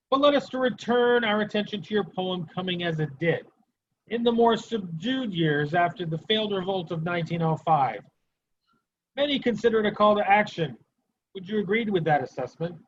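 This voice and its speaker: A man's voice: high voice, normal speed, a little hiss or hum, lightly acted. A man playing a stern, sardonic Soviet prosecutor in an amateur dramatic reading, questioning formally and curtly. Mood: probing, disapproving. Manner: formal, clipped interrogation. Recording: dull, muffled lo-fi recording.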